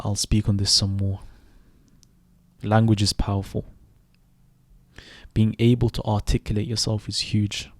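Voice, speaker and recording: A young man in his twenties who grew up in Sweden, hosting a reflective solo podcast and speaking thoughtfully, with pauses and self-corrections. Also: on the low side, darkish tone, dry recording. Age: 20s. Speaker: podcast host.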